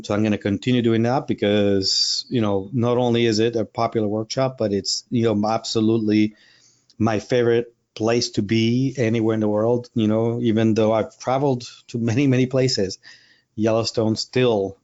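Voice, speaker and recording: A man's voice: on the low side, level tone, moderate pace, a little mellow, dull audio. A man from Rico, a Spanish speaker, chatting casually in an interview with lots of fillers and restarts. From Puerto Rico. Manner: rambling, many fillers and restarts. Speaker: wildlife photographer and workshop leader.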